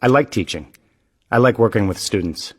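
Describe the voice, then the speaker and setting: A man reading scripted practice dialogue for English learners in a measured, clearly spoken way. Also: fairly low pitch, in a large hall. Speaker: dialogue reader in English lessons.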